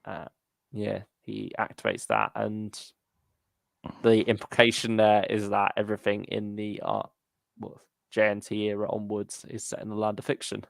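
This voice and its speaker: A man's voice: on the low side, completely flat delivery, moderate pace, gravelly, no room sound. A man hosting a casual fan discussion livestream, thinking aloud in a tentative way as he talks. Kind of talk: casual chat.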